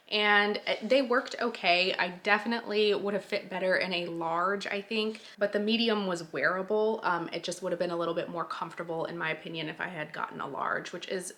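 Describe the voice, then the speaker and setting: Late-20s woman doing a haul vid, kinda stuffy nose, says like a lot, bright voice. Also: medium pitch. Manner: chatty, lots of "like" and "um" fillers. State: nasal, recovering from a sinus infection. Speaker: fashion vlogger. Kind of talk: casual try-on haul review.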